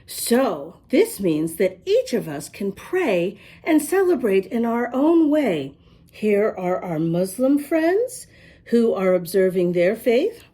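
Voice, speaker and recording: A woman reading a picture book aloud to young children, expressively, pausing to ask them questions. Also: medium pitch, mellow, slightly rough. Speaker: children's storybook reader and host.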